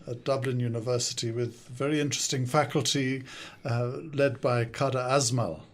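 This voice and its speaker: An older man born in South Africa and raised in the UK, speaking with an English accent, answering interview questions in a studio in a thoughtful, measured way with frequent hesitations. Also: medium pitch, fairly even intonation. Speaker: guest, consultant and coach. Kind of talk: podcast interview.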